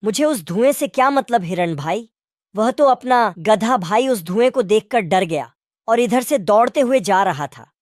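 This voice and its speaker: A woman voicing a sensible male jackal in a children's animated story, questioning and reasoning as he talks. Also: medium pitch, measured pace, no echo, studio quality, close to an everyday voice. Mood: explanatory.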